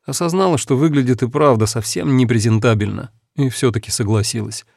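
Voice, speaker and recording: A man narrating a first-person story in a calm, reflective, measured way. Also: medium pitch, vocal fry, no room sound, clean studio sound. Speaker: audiobook narrator.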